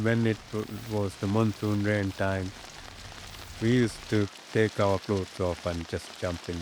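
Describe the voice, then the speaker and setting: Low, even-toned Sri Lankan man, slow and halting. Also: noisy background. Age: 50s. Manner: halting, pausing mid-phrase as he searches for words. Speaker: interviewee. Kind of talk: personal reminiscence in an interview.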